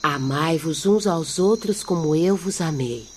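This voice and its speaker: An actress playing a devout, hopeful peasant daughter in a radio drama, consoling others with earnest preaching. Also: female voice, low-pitched, a little slow. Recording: faint noise with crickets behind.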